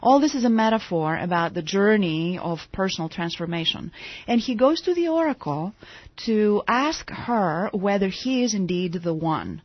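A woman from Greece with a breathy voice, recorded close with no room sound. She tells anecdotes as a studio guest, pausing and restarting often. The audio sounds dull and lo-fi. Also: mid-range voice, expressive, dry recording. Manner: storytelling, hesitant with fillers and restarts. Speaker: guest author and therapist. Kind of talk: radio interview. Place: radio studio.

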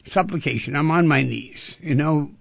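Male talk radio host, rough gravelly voice, breathy, animated, rhetorical questions. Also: fairly high pitch, normal speed, no room sound.

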